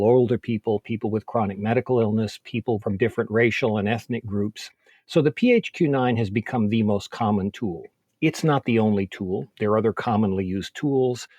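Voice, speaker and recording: A man with a fairly low voice answers as an expert guest. He explains things in a measured, methodical way, recorded close and dry with no room sound. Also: a little mellow, dry recording. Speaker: expert guest, psychiatrist and researcher.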